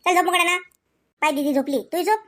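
A woman plays a homely village woman from a family cartoon, gossipy and colloquial in a rural Varhadi Marathi accent. The voice is very high and the talk runs fast, in a dry, close sound. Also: fairly bright tone, no room sound. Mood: homely, concerned. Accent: Varhadi Marathi, rural Vidarbha. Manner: gossipy, colloquial, drawn-out kinship exclamations.

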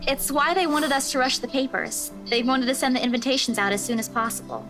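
A woman's voice: sits high, brisk, close to an everyday voice. A woman voicing a teasing, breezy young professional in a visual novel, chatty in manner. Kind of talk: visual novel dialogue read aloud. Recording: music playing behind the voice.

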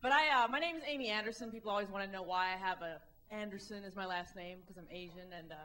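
A woman in her thirties, from the Midwest, doing a wry, animated stand-up set on a club stage with a handheld mic and acting out the voices of people she quotes. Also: medium pitch, fast talker. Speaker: stand-up comedian. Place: comedy club stage, indoors.

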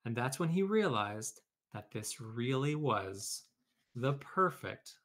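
Medium-pitched man teaching children. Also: big pitch swings, slow, a bit bright. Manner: conversational, addressing pupils and teachers. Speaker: educator hosting a read-aloud. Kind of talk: children's story read-aloud and informal classroom instruction.

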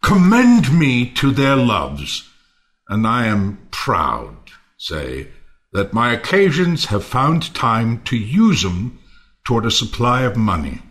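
A man playing a proud, generous, naively trusting Athenian lord in a Shakespeare audio play, declaiming theatrically with sudden hushed drops. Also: mid-range voice, huge pitch swings, slow pace, exaggerated voice. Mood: confident, commanding.

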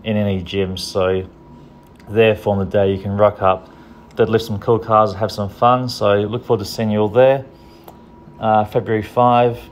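A man with a lowish voice talks through strongman training in an easygoing, practical way. He sounds young and plain-spoken, like a coach showing gym members what to do. A faint hum sits under his voice. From Australia. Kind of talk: casual training tutorial voice-over.

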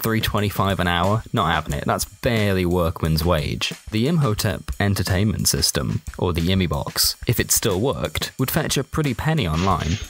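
A man's voice: deep voice, lively intonation, moderate pace, hardly any pauses. A man narrating a first-person comic short story in a dry, colloquial, sardonic way. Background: music.

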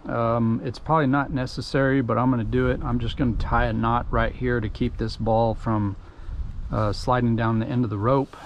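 A middle-aged Texan man talks through a do-it-yourself project in an easygoing, folksy way, full of ums and uhs. His voice sits at an ordinary middle pitch. The recording comes from a camera worn on his head outdoors, with clear noise from the surroundings and passing vehicles behind him. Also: steady tone, normal speed, lo-fi sound. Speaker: DIY tutorial host. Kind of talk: casual step-by-step how-to narration. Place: outdoors at a pickup truck tailgate. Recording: noisy outdoor camera audio.

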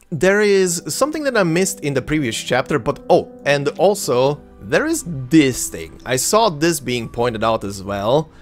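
A man in his thirties whose first language is Croatian, chatting casually and rambling as he hosts a let's play. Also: on the high side. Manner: chatty, rambling, self-correcting with many fillers. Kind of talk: casual gameplay commentary. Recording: faint game music behind.

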